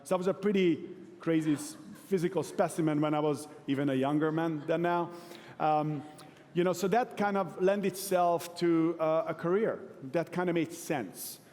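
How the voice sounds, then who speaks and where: A man from Hungary in his forties, giving a relaxed, self-deprecating conference talk to a live audience. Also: a little high, moderate pace. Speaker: keynote speaker. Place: large event hall. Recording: long hall reverb.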